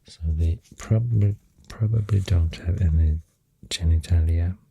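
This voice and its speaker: A soft-spoken ASMR creator with a Swedish accent, rambling in a breathy, husky near-whisper with hesitant pauses, close to the microphone. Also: deep voice, no room sound. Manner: slow, hesitant, pausing between phrases.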